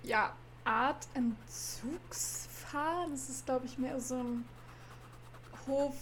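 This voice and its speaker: A woman's voice: high-pitched, drawn-out words, fairly bright tone, slight background noise. A woman co-hosting a story-reading podcast, chatting casually and correcting names. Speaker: co-host reader. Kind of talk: casual chat about a story.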